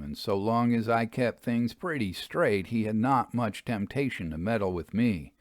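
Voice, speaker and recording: A man with a lowish voice reads a Victorian ghost tale as an audiobook narrator. His delivery is measured, expressive and dramatic, and the recording is dry and close. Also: no echo. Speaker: storyteller, narrator.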